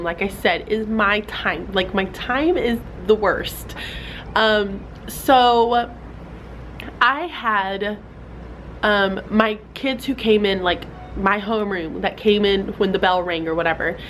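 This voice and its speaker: A woman's voice: medium pitch, a bit bright, poor audio. Young woman teacher chatting in her classroom, bit stuffy, lots of likes and ums, faint hiss behind. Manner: chatty, filler-heavy with "like" and "um". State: slightly stuffy from a cold. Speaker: fourth grade teacher. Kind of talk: casual vlog talk.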